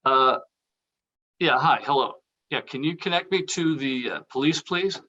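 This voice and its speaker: A male actor plays an aging, anxious schemer in a comedy script. He sounds dry and sardonic, with a hesitant, everyday delivery and a mid-pitched voice. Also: a little slow, sharp tone, highs cut off. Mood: nervous, hesitant. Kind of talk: table read of a comedy script.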